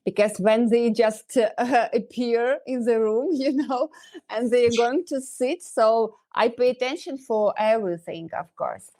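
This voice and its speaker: A woman's voice: a little high, measured pace, warm tone. A woman in her fifties with a Ukrainian accent, a dentist guest who hesitates and searches for English words.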